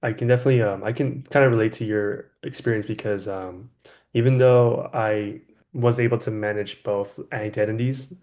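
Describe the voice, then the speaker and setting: Friendly young podcast host. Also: male voice, lowish voice, fairly even intonation, moderate pace, a little mellow. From California, US. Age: 20s. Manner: friendly, lots of ums and you knows. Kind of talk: casual chat.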